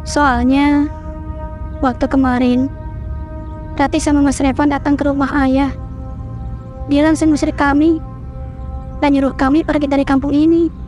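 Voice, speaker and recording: A woman's voice: high voice, little pitch movement, a little slow, long pauses, a little mellow, faint music behind. A woman playing a worried young wife. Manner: anxious, polite, questioning.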